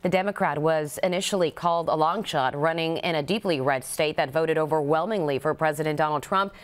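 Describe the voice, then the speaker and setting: A woman with an American accent reading scripted television news as an anchor. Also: on the low side.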